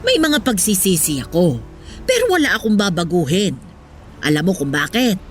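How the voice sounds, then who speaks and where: A woman's voice: medium pitch, sing-song, moderate pace, close to an everyday voice. Woman acting a wistful old Filipino mother. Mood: rueful. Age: older. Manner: reflective, earnest, motherly. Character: old poor mother, wistful, devoted, gently wise wife. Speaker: voice actor in a radio drama. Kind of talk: Filipino radio drama acting. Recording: music behind the voice.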